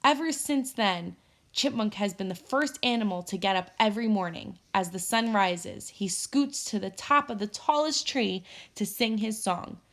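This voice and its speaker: A woman's voice: medium pitch, lively intonation, moderate pace, fairly bright tone. A woman teacher reading a children's storybook aloud expressively to her pupils.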